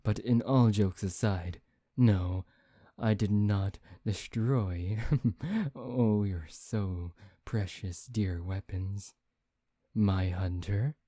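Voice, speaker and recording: A man playing a brooding, taunting vampire in an ASMR roleplay, speaking in a theatrical, deliberate, teasing way. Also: lowish voice, dark tone, dry recording, clean studio sound. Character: brooding, taunting male vampire bent on revenge. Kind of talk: ASMR roleplay, soft dramatic acting.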